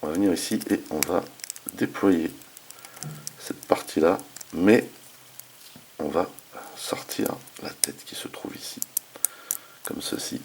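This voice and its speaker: A man's voice: deep voice, takes it slowly, pauses often, darkish tone, a little hiss or hum, low-quality recording. A man hosting a casual hands-on toy review, talking informally with slangy enthusiasm. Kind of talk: casual hands-on product demonstration.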